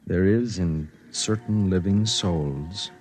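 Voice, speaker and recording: An actor playing a brooding, principled man who speaks and narrates quietly and earnestly in a 1950s radio drama. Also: low voice, slow, a little hiss or hum. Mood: tender. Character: brooding, principled man, gentle narrator of his own story. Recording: vintage radio drama recording with music behind.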